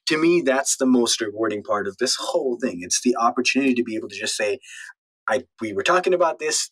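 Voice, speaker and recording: A man's voice: medium pitch, fairly bright tone, rough recording. Young man in a casual interview. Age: late 20s, turning thirty. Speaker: podcast guest, entrepreneur.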